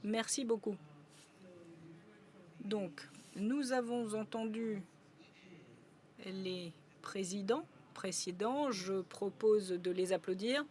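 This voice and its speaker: A woman's voice: medium pitch, takes it slowly, long pauses. Woman interpreting with frequent hesitations. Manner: steady relay with frequent hesitations. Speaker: conference interpreter. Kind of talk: simultaneous interpretation of a panel.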